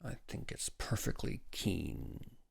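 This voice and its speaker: A man's voice: lowish voice, little pitch movement, normal speed, gravelly, a little mellow. A man voicing a frowsy, worn-out expectant father in a storybook reading, with hollow, sulky sarcasm. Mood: sarcastic, taut. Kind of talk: storybook character in a read-aloud.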